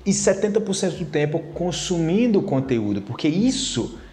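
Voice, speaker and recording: Animated Brazilian man teaching to camera. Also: on the high side, moderate pace, reverberant. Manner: animated, gesturing a lot with his hands; chatty, with many tag questions. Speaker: Portuguese teacher. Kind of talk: casual video lesson to camera. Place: plain-walled room indoors. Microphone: lapel mic.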